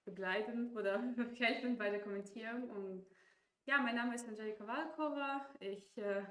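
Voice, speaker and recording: A woman's voice: fairly high pitch, moderate pace, a bit bright. Woman, hesitant, in an echoey room. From Ukraine. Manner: hesitant, many fillers and restarts, laughs often. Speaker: guest co-commentator. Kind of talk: casual chat on a chess stream.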